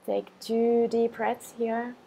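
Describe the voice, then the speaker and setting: Calm lady doing a guided meditation, really unhurried, relaxed voice w a bit of vocal fry. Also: fairly high pitch. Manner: calm, unhurried, gentle pauses. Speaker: meditation and yoga teacher. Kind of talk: guided meditation, slow instructions.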